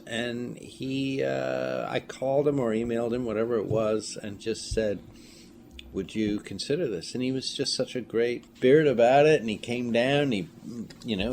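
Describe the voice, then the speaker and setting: A man telling stories casually as an interview guest, in a halting way with frequent pauses. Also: medium pitch, darkish tone. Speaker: filmmaker and audio drama creator, interview guest. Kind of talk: casual storytelling in conversation. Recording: clearly noisy room ambience.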